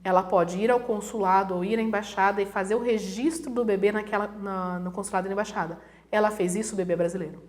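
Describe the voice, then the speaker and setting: A woman's voice: medium pitch, steady tone, fast talker, a little mellow. A woman from Brazil, a guest professor, explaining things conversationally and acting out examples in quoted voices. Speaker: guest, international relations professor.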